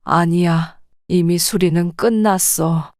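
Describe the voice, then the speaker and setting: A woman's voice: on the low side, fairly even intonation, slow, darkish tone, no echo, studio quality. A woman voicing a weary older mother. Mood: weary, gentle. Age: 60s. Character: older mother, gentle and worn down. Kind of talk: audio drama acting.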